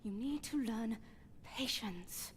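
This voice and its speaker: An actress playing a cool, scheming aristocratic witch wife in a fantasy audio drama, measured and poised. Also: fairly high pitch, expressive, a crowd in the background. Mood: cold reproof.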